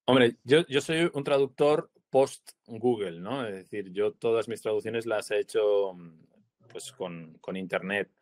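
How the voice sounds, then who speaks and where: A man's voice: fairly low pitch, a little slow, no echo. Thoughtful Spanish man in his forties. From Spain. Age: 40s. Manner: thoughtful, hesitant, many fillers and tag questions. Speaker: translator, prize-winning guest. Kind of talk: reflective interview conversation. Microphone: video call.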